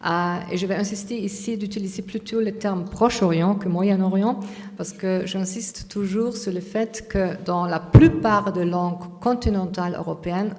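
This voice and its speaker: A woman's voice: mid-range voice, darkish tone. Woman lecturing in an echoing hall. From Austria. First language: German. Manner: hesitant, many fillers and restarts. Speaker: conference speaker.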